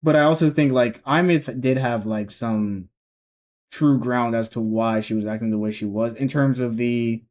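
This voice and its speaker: Early-twenties guy reacting to a clip, mid-pitch voice, kinda rambly, restarts a lot. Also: normal speed, a little mellow. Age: 20s. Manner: rambling, thinking aloud with restarts. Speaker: reaction video host. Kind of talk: casual reaction commentary.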